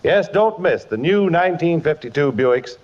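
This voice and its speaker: Old timey radio ad guy, punchy salesman delivery, swoopy pitch, dull muffled recording. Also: lively intonation, moderate pace, darkish tone. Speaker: radio announcer. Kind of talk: 1950s radio commercial read. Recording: muffled vintage radio broadcast.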